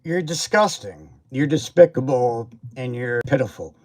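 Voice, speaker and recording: A man's voice: mid-range voice, animated, measured pace, darkish tone. A man hosting a livestream who rants at a seller with profane, confrontational, repetitive jabs. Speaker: toy reviewer and livestream host. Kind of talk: angry livestream rant. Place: home livestream setup.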